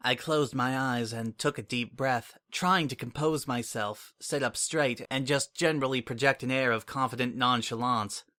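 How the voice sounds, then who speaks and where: A man giving a steady, expressive dramatic reading as the first-person narrator of a story. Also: medium pitch, normal speed, few pauses. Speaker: reader. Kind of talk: dramatic audiobook reading of fan fiction.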